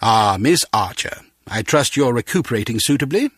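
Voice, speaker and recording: A husky, mid-pitched older male voice plays a spy chief. He is fatherly, formal and quietly wry, heard on a dry recording. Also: animated, moderate pace, dry recording. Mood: genial. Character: avuncular older British spymaster, courteous, measured, wry. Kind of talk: video game voice acting.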